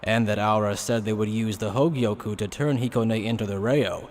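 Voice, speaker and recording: A man's voice: fairly low pitch, normal speed, darkish tone. Male story narrator, noisy recording. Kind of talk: dramatic scripted story narration.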